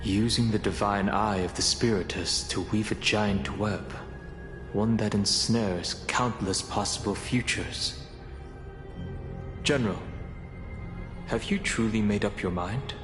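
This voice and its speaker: Solemn low-voiced man in echoing hall. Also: fairly even intonation, slow pace, pauses often. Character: grave, thoughtful male scholar-counselor, solemn and formal. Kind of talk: video game voice acting. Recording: echoey hall reverb with faint music.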